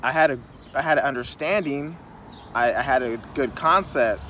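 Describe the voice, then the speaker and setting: A man in his thirties talking casually outdoors on a city curb, rambling and conversational with animated asides. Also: medium pitch, normal speed. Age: 30s. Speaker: vlogger. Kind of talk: casual outdoor vlog monologue. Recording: faint street noise, wind on mic. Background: wind and passing traffic.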